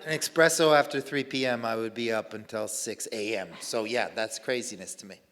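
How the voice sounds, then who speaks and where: A man's voice: on the low side, a little fast, fairly bright tone. A man on a panel, replying bluntly and dryly. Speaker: panel guest. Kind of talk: casual panel chat. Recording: distant reverberant hall.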